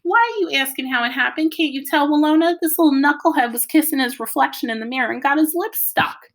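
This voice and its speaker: A teasing family father from a storybook, acted out by a woman. He is jokey and mocking, with a raised pitch and a creaky edge. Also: quick pace, a little hiss or hum.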